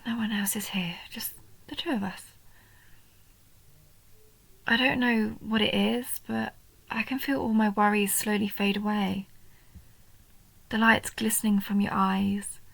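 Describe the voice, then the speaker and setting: A woman voicing a shy, sweet, nerdy goblin girl in a soft roleplay audio, hesitant and gentle, often dropping into breathy half-whispers. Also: medium pitch, steady tone, unhurried, pauses often, no room sound. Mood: tender, at ease. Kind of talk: roleplay audio, soft intimate story acting.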